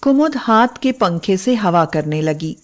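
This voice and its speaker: Female narrator for a moral tale, unhurried scripted delivery, medium pitch. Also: expressive.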